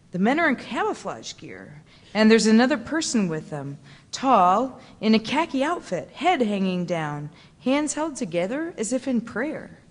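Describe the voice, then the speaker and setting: A woman narrating a dramatic literary reading on stage with suspenseful, deliberate phrasing. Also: fairly high pitch. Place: large theatre stage. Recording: reverberant theatre hall.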